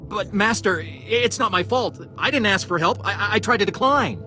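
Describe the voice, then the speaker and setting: Young student, high voice, animated, whiny, resentful, defensive, video game cutscene. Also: male voice, moderate pace, faint music behind, heavily acted. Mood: flustered, pleading. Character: flustered, resentful young male Jedi student.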